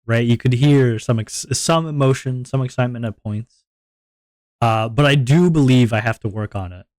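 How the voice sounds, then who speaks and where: A man with a deep voice, streaming and chatting casually to his viewers in a rambling, self-reflective way, often repeating his words. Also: moderate pace, a little mellow, no room sound.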